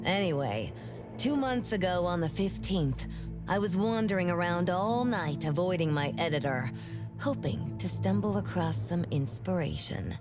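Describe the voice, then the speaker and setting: A woman voicing an excitable, self-mocking reclusive manga artist in a video game, with dramatic delivery. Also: lowish voice, normal speed, close to an everyday voice. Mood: animated storytelling. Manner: dramatic, exclamatory. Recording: music behind the voice.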